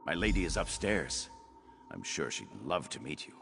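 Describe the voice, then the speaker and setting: A man's voice: very deep voice, very animated, moderate pace, gravelly, fairly bright tone, a little hiss or hum, full character voice. A man playing a rapturous, fawning nobleman in a video game, effusive, theatrical and lofty in his delivery. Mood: dreamy devotion.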